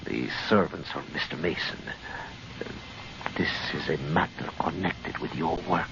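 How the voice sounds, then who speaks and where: An actor playing a formal, insistent, secretive visitor in an old-time radio drama. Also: male voice, rumbling low, a little slow, creaky, heavily acted. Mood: urgent, insistent. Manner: stiffly formal, urgent. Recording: muffled, noisy old radio recording.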